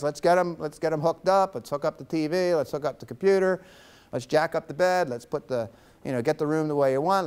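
A man in his fifties giving a lively guest lecture to college students in a classroom, teasing his audience as he talks into a lapel mic. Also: on the high side, clear, resonant tone. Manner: animated, gestures widely, teasing the audience.

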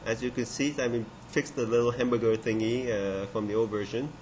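Man in his thirties, software demonstrator, hesitant unscripted speech, mid-pitched voice, audible noise. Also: fairly even intonation. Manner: halting, unscripted delivery. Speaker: software developer, presenter. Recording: clearly noisy room recording.

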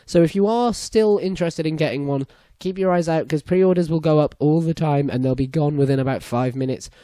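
A young British man with a croaky voice hosting a solo gaming news podcast, chatty and opinionated. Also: a little high, fairly quick, warm tone, no echo, studio quality. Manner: chatty, opinionated, apologetic asides. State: hoarse, croaky voice after a concert. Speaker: podcast host.

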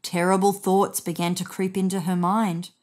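A woman's voice: fairly low pitch, little pitch movement, normal speed, a little mellow. A woman in her thirties reading a children's story aloud expressively as a narrator. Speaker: storybook reader. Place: indoors on a bed.

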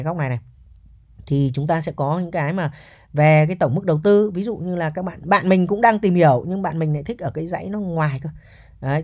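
Fast-talking man presenting a property. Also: on the high side, a little mellow, highs cut off. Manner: chatty, often tags statements with a checking question. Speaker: real estate agent presenting a property. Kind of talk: casual property walkthrough commentary.

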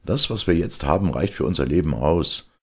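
A man voicing a calm, contented old husband in a fairy tale, speaking firmly. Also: very deep voice, moderate pace, breathy edge, voice pushed up, exaggerated voice.

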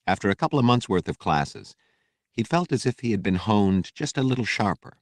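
A man's voice: on the low side, animated, fairly quick, no room sound, studio quality. A man narrating a novel as a scripted, dramatic audiobook reading. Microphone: close studio microphone.

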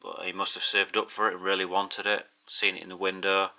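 A man's voice: low voice, level tone, fairly quick, creaky, bright, forceful tone. A middle-aged man with a Yorkshire accent giving a plain-spoken, slightly hesitant casual vlog narration at home. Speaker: video host. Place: indoors in a home living room.

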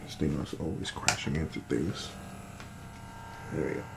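A soft-spoken man with a low, creaky voice murmurs short remarks as a barber in an ASMR roleplay. He is close to the mic in a small room, with loud electric clipper buzz and handling noise over the recording. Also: normal speed. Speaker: ASMR creator playing a barber. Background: electric razor buzz, barber tool sounds.

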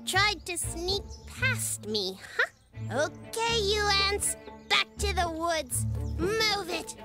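A woman's voice: very high voice, slow, exaggerated voice. A woman voicing a small, brave, self-important watch duck with blustering, exclamatory delivery in a children's cartoon. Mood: bossy, proud. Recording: loud music behind the voice.

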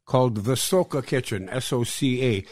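A man hosts a podcast interview, his voice sitting at a middle pitch. He often stops and restarts mid-phrase while asking questions. The sound is dry and close. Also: darkish tone, no room sound. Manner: halting, repeats words. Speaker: podcast host.

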